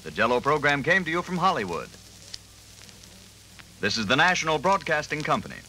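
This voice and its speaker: A man's voice: fairly high pitch, big pitch swings, a little fast, bright, forceful tone. A man giving an emphatic, polished commercial announcement in 1940s radio style. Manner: emphatic, polished sales delivery. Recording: faintly noisy vintage radio broadcast.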